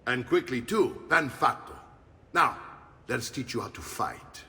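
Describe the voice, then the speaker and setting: An actor playing a gruff, jovial middle-aged Italian mercenary uncle in a video game, expansive and avuncular, with an Italian accent. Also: male voice, mid-range voice, expressive, a little slow, sharp tone, far from the mic, full character voice. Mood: firm, instructive.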